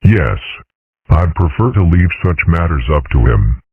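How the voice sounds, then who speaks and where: A man voicing a stern, dry-witted dragon father in a comic strip dub, husky and measured. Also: very animated, no room sound, heavily acted. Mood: firm. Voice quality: husky, very deep. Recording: muffled phone-like band.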